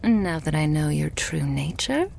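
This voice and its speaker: A woman playing a loving, possessive vampire girlfriend in an intimate ASMR roleplay, murmuring softly and breathily. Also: deep voice, sing-song, a little hiss or hum, heavily acted. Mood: playful, teasing. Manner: soft, breathy, slow murmuring that often drops to whispers.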